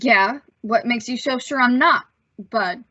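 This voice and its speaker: A woman's voice: on the high side, a little slow, sharp tone, dry recording, dull audio. A woman playing an earnest, plucky teenage boy in an old-time radio suspense play, with a hesitant gee-whiz manner. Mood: wary, bluffing.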